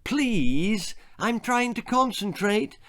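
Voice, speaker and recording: A snappish storybook mum, played by a male reader, worn thin by her small son. She scolds curtly and firmly in a raised pitch with lively swoops. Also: slow pace, exaggerated voice. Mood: exasperated. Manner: curt, scolding, firm. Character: snappish, exasperated mother of a small boy.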